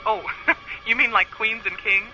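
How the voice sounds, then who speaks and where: A woman's voice: on the high side, fairly even intonation, a little slow. A woman playing a friendly, candid space communications officer in a radio play, chatty and a little apologetic over a radio link. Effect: radio transmission filter. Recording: dull band-limited radio link, music behind.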